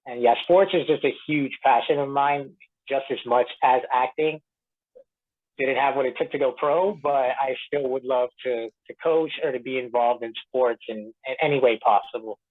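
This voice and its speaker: Male guest heard through a thin phone line. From Florida, medium pitch, casual conversational interview delivery. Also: moderate pace. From Tampa Bay, Florida, United States; born in Puerto Rico. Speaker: actor being interviewed. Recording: tinny narrow-band phone line.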